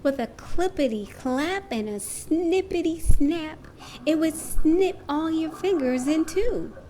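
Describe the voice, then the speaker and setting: A woman reading a rhyming children's book aloud in an expressive, deliberate way. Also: sits high, a little hiss or hum. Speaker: storybook reader.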